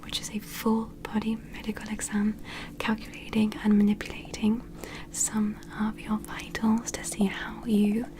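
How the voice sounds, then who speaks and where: Woman as a softly whispering alien medical examiner. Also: mid-range voice, monotone, close to an everyday voice. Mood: calm, welcoming. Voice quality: breathy unvoiced whisper. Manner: slow, soothing, reassuring, procedural. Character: gentle, attentive female alien medical examiner named Luna. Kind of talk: whispered ASMR medical roleplay.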